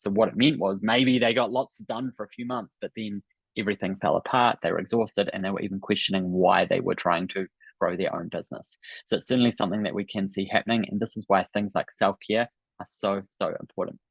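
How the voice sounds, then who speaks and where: A man's voice: fairly low pitch, no echo, muffled recording. Upbeat New Zealand man hosting a webinar. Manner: upbeat, encouraging, chatty with many fillers. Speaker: business coach hosting an online summit. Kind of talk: live webinar talk to an audience. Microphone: video call.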